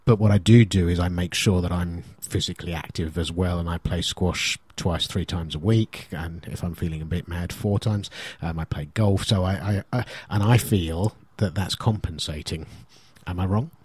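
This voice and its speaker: A middle-aged British man with a deep, rough-edged voice co-hosts a radio show. The sound is close and dry. He chats casually and jokes at his own expense. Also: normal speed, hardly any pauses, darkish tone, no room sound. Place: radio studio.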